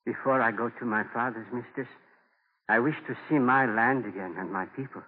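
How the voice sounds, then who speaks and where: A man's voice: medium pitch, brisk, lightly acted. Actor as solemn, homesick Native man, old radio. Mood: wistful. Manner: solemn, simple formal phrasing. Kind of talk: 1940s radio drama acting. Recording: thin, narrow-band 1940s radio broadcast.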